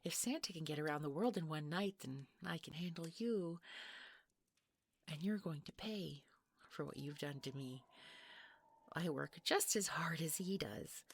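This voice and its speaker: Woman acting as jealous obsessive wife, audio roleplay, creaky breathy voice, slightly low, sugary taunting menace. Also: lively intonation, a little hiss or hum. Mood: bitter, menacing. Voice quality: breathy, creaky, often near whisper. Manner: slow, sugary, taunting, breaking into giggles.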